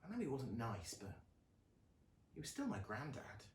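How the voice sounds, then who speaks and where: Man as a quiet, sullen young girl. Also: medium pitch, wildly swooping pitch, moderate pace, reverberant, recorded from a distance, a little hiss or hum. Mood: sad, subdued. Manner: subdued, quiet.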